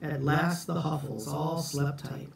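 A middle-aged man reads a children's picture book aloud in an expressive, theatrical, deliberate storytime manner. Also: fairly high pitch, gravelly. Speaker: storytime reader for schoolchildren. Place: small room at home, indoors. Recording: echoey room, distant phone mic.

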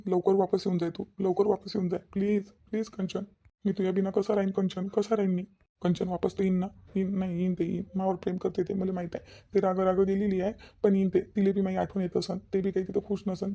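A man voicing a lovesick, dutiful young husband in a cartoon drama, soft-spoken and repetitive, with a Varhadi Marathi accent. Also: sits high, monotone, fast. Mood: lovelorn, pining. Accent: Varhadi Marathi from Vidarbha. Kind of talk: cartoon family drama dialogue.

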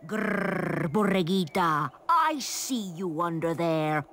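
A woman voicing a hungry, gullible male coyote with a slow, menacing growl, for a storybook reading. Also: on the low side, expressive, fairly bright tone, slight background noise. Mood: growling menace. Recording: music behind the voice.

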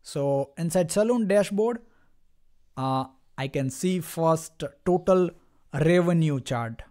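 A man instructing in an unscripted screen-recorded software demo, explaining step by step in a halting way and addressing viewers directly. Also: fairly high pitch, expressive.

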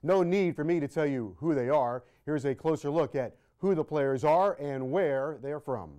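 Man, TV sports host, mid-pitched voice, slow deliberate delivery, studio lapel mic. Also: mellow, slight background noise. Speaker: TV show host. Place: TV studio set.

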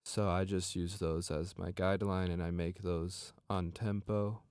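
A man narrating a software tutorial as a music production instructor, explaining step by step in an informal way. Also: deep voice, little pitch movement, a little slow, smooth, no echo, clean studio sound.

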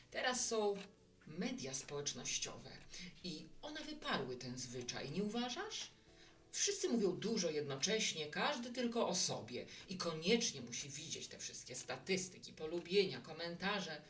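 A hospitable woman runs a little bar in an audio drama, played by an actress. Her voice is on the low side and moves in lively swings, musing as she chats. She sounds set back from the mic. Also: unhurried, far from the mic. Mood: reflective. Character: hospitable, reflective woman running a small bar. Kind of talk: Polish audio drama dialogue.

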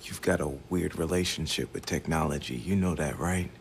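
A man's voice: extremely low pitch, moderate pace, vocal fry, slight background noise. An actor playing a laid-back, wry young hacker in a video game, casual and teasing with his friends. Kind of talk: video game cutscene acting.

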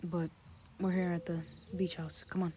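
A woman voicing a sarcastic teenage boy in a fan anime audio drama, deadpan and bossy as he drives. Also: on the low side, moderate pace, slight background noise, lightly acted. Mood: soft, coaxing. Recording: music playing under the voice.

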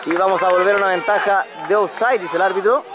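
Excited Chilean man calling rugby, loud crowd. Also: heavy background noise. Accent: Chilean Spanish. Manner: quick, excited calls of the action, pitch jumping up on big moments. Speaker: match commentator. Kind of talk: live rugby play-by-play commentary. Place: outdoors at a rugby field.

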